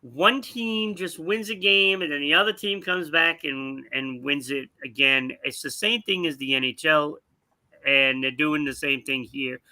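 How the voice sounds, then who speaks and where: A man co-hosting a live sports talk show, giving opinions in a deliberate way with pauses mid-sentence. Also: on the high side, sharp tone, poor audio.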